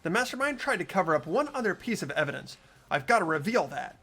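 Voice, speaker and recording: Man acting as earnest teenage boy, high-pitched, lively intonation, insistent, accusatory, video game voice. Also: fast talker, exaggerated voice. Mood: accusing.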